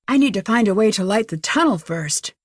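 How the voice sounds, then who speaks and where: A woman playing an inquisitive, level-headed young detective thinking aloud in a video game, earnest and crisp. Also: medium pitch, lively intonation, brisk, no room sound, clean studio sound, lightly acted. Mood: focused, pondering.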